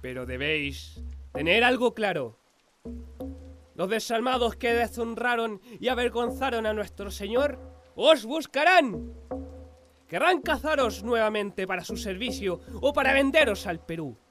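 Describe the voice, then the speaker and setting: An actor playing a taciturn young Jesuit priest in a radio drama, gravely warning his flock in solemn, oratorical, archaic speech. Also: very high voice, expressive, unhurried, fairly bright tone, faint music behind, heavily acted. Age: young adult.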